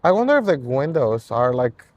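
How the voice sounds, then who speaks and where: A man chatting casually as a guest on a walk along a city street, reacting with lively interest. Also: medium pitch, normal speed, no echo, dull audio. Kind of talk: casual chat.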